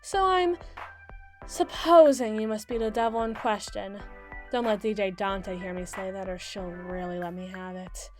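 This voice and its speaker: A woman playing a brisk, sassy mermaid public attorney in a scripted ASMR roleplay, with a theatrical, sardonic, wordy delivery. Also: medium pitch, a little mellow, faint music behind, natural voice. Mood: wry. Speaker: voice actor in audio roleplay.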